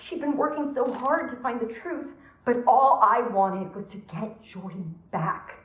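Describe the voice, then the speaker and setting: An actress playing a guilt-ridden, grieving woman in a horror game, speaking hesitantly and earnestly in halting phrases. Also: lowish voice, expressive, roomy sound, low-quality recording. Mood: distressed. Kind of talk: dramatic horror game voice acting.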